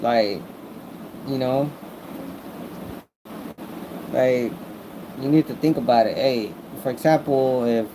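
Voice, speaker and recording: Slow-talking American man with a halting delivery. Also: mid-range voice, background noise. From Mexican-American, born in the United States. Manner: repetitive, halting, searching for words. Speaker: vlogger. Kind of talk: casual rambling opinion talk.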